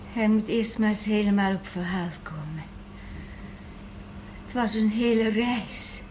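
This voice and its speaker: An actress playing a gravely ill, weary and loving mother in a 1970s Dutch television drama, speaking tenderly and with resignation. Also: mid-range voice, unhurried, close to an everyday voice. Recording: faint noise on old TV audio.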